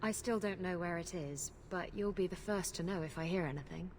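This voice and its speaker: An actress playing a brash, flirtatious pirate captain in a video game cutscene, teasing and sardonic. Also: female voice, on the low side, brisk, darkish tone, slight background noise, lightly acted. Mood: playful, teasing.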